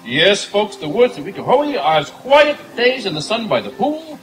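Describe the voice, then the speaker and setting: Old-time radio pitchman acted by a man, high voice, lively intonation, salesmanlike, clear music behind. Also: normal speed, rough recording. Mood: upbeat. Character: smooth-selling, upbeat old-time radio sponsor announcer. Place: outdoor night stage in woods.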